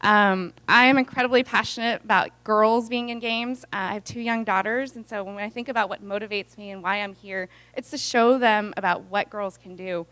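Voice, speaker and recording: A woman in her thirties giving earnest, excited welcoming remarks with frequent fillers. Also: medium pitch, moderate pace, few pauses, clean tone. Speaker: event speaker.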